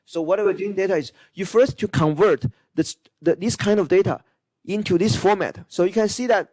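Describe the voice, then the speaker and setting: A man's voice: high-pitched, moderate pace, darkish tone, dry recording, poor audio. A man who is a non-native English speaker lecturing informally over slides, repeating himself and checking in with listeners. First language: not English, non-native speaker. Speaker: university lecturer.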